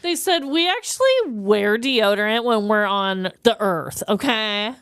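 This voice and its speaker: A woman co-hosting a comedy podcast, talking in a chatty, slangy, animated way close to the mic. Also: mid-range voice, unhurried, clean tone, dry recording. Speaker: podcast co-host. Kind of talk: casual comedic chat.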